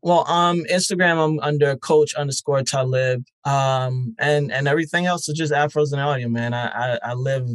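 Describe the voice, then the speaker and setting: A man's voice: medium pitch, fairly even intonation, moderate pace, few pauses, smooth, dry recording. A man speaking as a guest, a festival founder and life coach, earnest and encouraging, with frequent ums. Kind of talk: casual interview chat.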